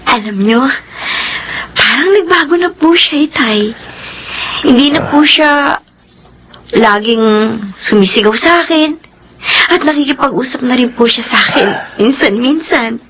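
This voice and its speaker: A woman's voice: high voice, animated, a little slow, pauses often, a bit bright, slight background noise. A woman playing a devoted, meek young maid in a Filipino radio drama, polite and emotional. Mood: caring.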